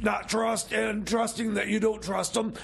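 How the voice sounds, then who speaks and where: A man playing an excitable, rambling time machine mechanic in improvised comedy radio banter, halting and repeating himself. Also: high voice, creaky, breathy edge, soft background music, full character voice. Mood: scheming, rambling. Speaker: radio show co-host.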